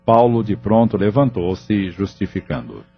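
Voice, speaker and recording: A man's voice: on the low side, a little slow. A man reading scripted narration for an audio novel as its narrator. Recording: muffled lo-fi audio, background music.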